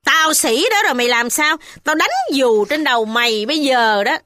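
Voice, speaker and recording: Give me a shrill, domineering older village matron, put on by a woman reader, high-pitched. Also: lively intonation, racing, sharp tone, no echo, clean studio sound. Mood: threatening. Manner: shrill, scolding.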